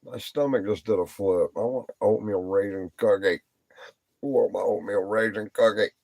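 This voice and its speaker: A man's voice: lowish voice, fairly even intonation, moderate pace, gravelly, darkish tone, dry recording. A tired man rambling and swearing on a late-night live stream, lying down as he talks and repeating himself. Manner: talking while lying down.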